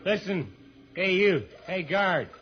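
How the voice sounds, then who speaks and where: A man's voice: high-pitched, lively intonation, takes it slowly, loud music behind the voice. An actor playing a wisecracking, grumbling Earthman sidekick in an old-time radio serial, sardonic and complaining. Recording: muffled, very noisy old radio recording.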